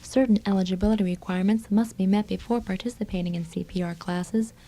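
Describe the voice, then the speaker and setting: A woman's voice: medium pitch, steady tone, fast talker, mellow. A woman hosting a radio magazine program, reading scripted announcements and introductions. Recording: slightly dull old reel-to-reel radio tape.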